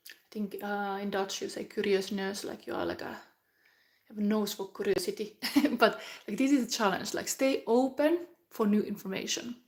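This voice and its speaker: A middle-aged woman from Finland, giving a live tarot reading in a slow, chatty way that often drops into a whisper. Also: mid-range voice. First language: Finnish. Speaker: tarot reader.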